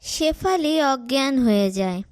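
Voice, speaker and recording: Female narrator, high voice, slow even delivery, over clear music. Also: no echo, studio quality. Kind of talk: scripted storybook narration. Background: background music.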